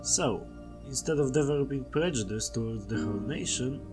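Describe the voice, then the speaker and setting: A man's voice: mid-range voice, moderate pace. Polish-accented man narrating over music. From Poland. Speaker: video creator. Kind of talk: scripted video essay narration. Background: background music.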